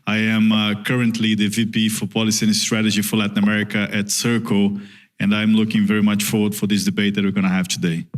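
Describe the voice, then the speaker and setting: A man with a Brazilian accent introducing himself on a conference panel, polite and measured. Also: lowish voice, completely flat delivery, distant mic.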